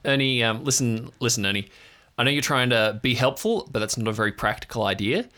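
A man's voice: medium pitch, expressive, a little fast, sharp tone. A man playing an officious, condescending policeman who hesitates and repeats himself, in an improvised audio drama. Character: gruff, bossy small-town policeman, dismissive and officious.

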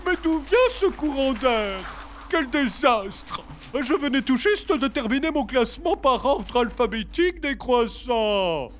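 A woman's voice: high voice, very animated, unhurried, background noise. A woman playing a fussy, flustered and angry office clerk in an audio fiction, fretful and exclamatory. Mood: distraught, exasperated. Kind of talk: French audio fiction acting.

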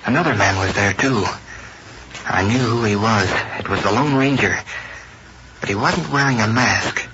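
A man's voice: fairly low pitch, moderate pace, noisy background, lightly acted. A young man playing a straightforward boy who tells and acts western adventures in old-time radio style, plainly and matter-of-factly. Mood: storytelling. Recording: muffled old radio recording.

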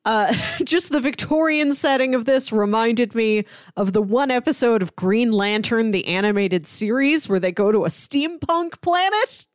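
A woman's voice: a little high, lively intonation, a little slow, hardly any pauses, no echo, studio quality. A woman co-hosting a podcast, giving recommendations in a casual, conversational style. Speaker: podcast co-host.

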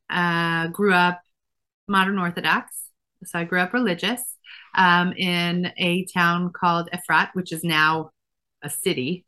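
A woman, a fashion designer answering interview questions thoughtfully with many pauses. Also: on the low side, bright, forceful tone, clear, resonant tone. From Israel. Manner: thoughtful, many fillers and restarts.

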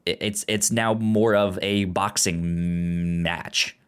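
A man's voice: low voice, steady tone, slow, fairly bright tone, smooth. A man co-hosting a podcast, chatting casually and stammering over repeated syllables and words. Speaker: podcast co-host reviewing a film. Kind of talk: casual discussion.

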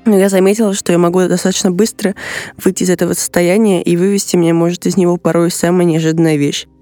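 A woman's voice: on the low side, flat delivery, fast talker, mellow, dry recording, soft background music. A woman giving a prepared first-person account as a member of a podcast team.

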